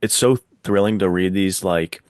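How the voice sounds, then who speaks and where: A man with a fairly low voice, recorded dry without room sound. He hosts a casual book chat and talks with informal, enthusiastic slang. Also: moderate pace, darkish tone, no room sound. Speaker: podcast host, writer.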